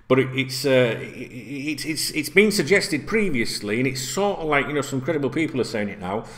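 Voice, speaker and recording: A middle-aged man, ranting casually and swearing a lot as a football vlogger talking straight to camera in a small room. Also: mid-range voice. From Yorkshire, England. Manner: sweary, rambling, conversational. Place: small indoor room, plain wall.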